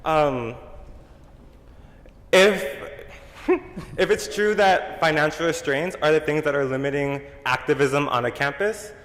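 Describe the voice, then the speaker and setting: Young man at the floor mic, loud and halting, echoey hall. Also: a little high. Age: young adult. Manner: loud, halting, restarts with many ums. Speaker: audience member asking a question. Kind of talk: question from the floor. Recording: echoey hall, long reverb.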